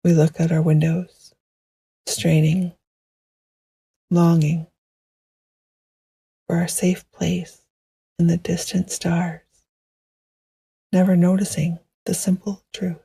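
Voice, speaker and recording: A woman guiding a meditation in a gentle, hushed, poetic style, with a soft, breathy voice that often nears a whisper. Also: on the low side, little pitch movement, no echo. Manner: gentle, hushed, unhurried, soothing. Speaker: meditation guide. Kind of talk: slow poetic guided meditation narration.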